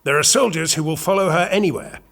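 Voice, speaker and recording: An actor playing a calculating royal chamberlain in an audio drama, speaking in a formal, measured, persuasive way. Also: male voice, fairly high pitch, gravelly, a bit bright. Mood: persuasive, scheming. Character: calculating, smooth-talking royal chamberlain and courtly schemer.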